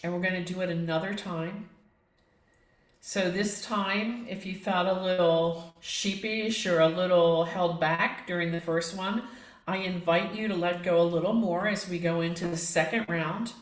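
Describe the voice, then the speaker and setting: A woman leading a guided meditation as a yoga nidra teacher, giving gentle, unhurried, inviting instructions with pauses. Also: on the low side, fairly even intonation. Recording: echoey room.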